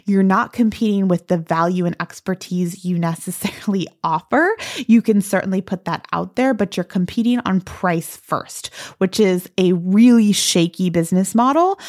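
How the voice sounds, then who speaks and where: A woman's voice: fairly low pitch, a little mellow. A woman hosting a solo podcast, giving business advice in a conversational, emphatic way with casual asides. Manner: conversational, emphatic, peppered with "like" and "right?". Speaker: podcast host and branding consultant.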